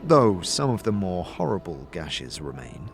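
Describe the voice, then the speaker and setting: A man with a fairly low voice narrates a scripted fantasy tale. His delivery is theatrical and swings widely in pitch as he addresses the listener as the hero. Music plays clearly behind him. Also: unhurried. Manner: theatrical, expressive. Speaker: narrator and game master voice. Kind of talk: dramatic second-person scripted storytelling.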